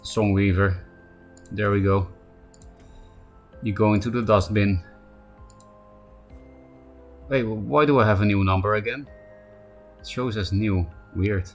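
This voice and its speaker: Man, Polish accent, fairly low voice, chatty game streamer, faint music behind. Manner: chatty, answers chat by name, quick asides. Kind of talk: casual live-stream chat while playing.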